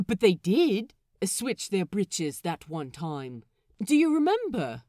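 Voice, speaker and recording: A woman's voice: medium pitch, wildly swooping pitch, slow pace, warm tone, no room sound, studio quality. A woman voicing an earnestly silly male pirate crewmate with naive, knowing enthusiasm in a story reading. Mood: eager, puzzled.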